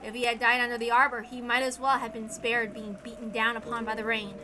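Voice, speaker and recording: A woman reading a dramatic story aloud as narrator, expressive, theatrical and deliberate. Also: a little high, background noise. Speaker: reader of a visual novel. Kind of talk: dramatic scripted narration with acted dialogue. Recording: background music under the reading. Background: music.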